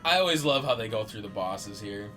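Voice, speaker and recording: A man's voice: on the low side, animated, brisk. A man giving casual, profane gaming banter as a show host, boisterous and teasing, with video game music behind him.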